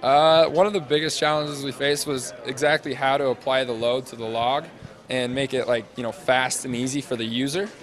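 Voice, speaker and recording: A man in his twenties, a student interviewee, explaining a project off the cuff in casual, halting phrases into a handheld mic. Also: mid-range voice, steady tone, a bit bright. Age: 20s. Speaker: interviewee, senior engineering student presenting a project. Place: large glass-walled university hall or lobby. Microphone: handheld interview microphone held out to him.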